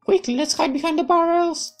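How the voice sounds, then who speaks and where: A woman's voice: very high-pitched, brisk, soft background music, pitched above the performer's own voice. A woman voicing a bossy, teasing young girl in a visual novel, mocking and scolding. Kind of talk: dramatic reading of a visual novel.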